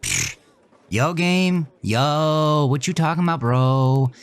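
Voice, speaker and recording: A man's voice: a little high, sing-song, slow, clean tone, voice pushed up, exaggerated voice. A man voicing a boastful young buddy who talks in slang and turns defensive.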